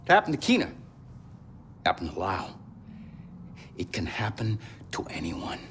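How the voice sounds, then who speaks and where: Casting: cynical middle-aged militia leader, low pitch, wide swings of emphasis, gruff game drama. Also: male voice, wildly swooping pitch, a little slow, fairly bright tone, a little hiss or hum, exaggerated voice. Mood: bitter conviction. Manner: cynical, grudging, preachy.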